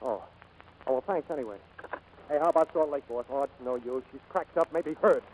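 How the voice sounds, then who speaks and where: An actor playing an anxious, devoted flying teacher and boss in a 1940s radio drama. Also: male voice, mid-range voice, fairly quick, a little mellow, close to an everyday voice. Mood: anxious, guilty. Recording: faintly noisy 1940s radio recording.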